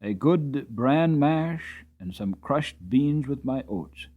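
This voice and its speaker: A man narrating a storybook dramatically, expressive and varying his pacing, on a slightly dull recording. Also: mid-range voice, slow. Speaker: audiobook narrator. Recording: slightly dull, band-limited audio.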